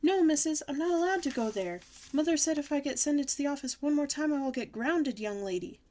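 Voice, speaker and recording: A woman voicing an excitable, sassy, dramatic little kindergarten girl with childish, emphatic speech in a children's audiobook. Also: high-pitched, a little fast, pitched above the performer's own voice. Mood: worried. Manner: childish bad grammar, indignant, emphatic. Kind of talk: children's audiobook character reading.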